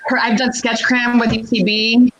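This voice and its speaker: A woman from Puerto Rico and Connecticut, a comedian guest chatting casually and talkatively in an interview, with an animated manner. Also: fairly high pitch, normal speed, lo-fi sound, crowd noise. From born in Puerto Rico, raised in Connecticut, United States.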